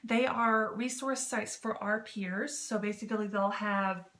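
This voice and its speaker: A woman's voice: mid-range voice, steady tone, a little slow, fairly bright tone. A woman talking casually as a vlog host, explaining things in a rambling, informal, self-deprecating and jokey way. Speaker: vlog host giving tips on written role-playing. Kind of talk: casual, chatty explainer to camera. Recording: roomy echo with clear background noise.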